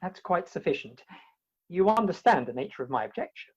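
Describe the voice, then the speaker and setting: A woman plays a dignified young gentleman in a comic radio play. The voice is husky and lively, pompously earnest, heard over slightly dull video call audio. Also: on the low side, fairly quick. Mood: anxious, fussy.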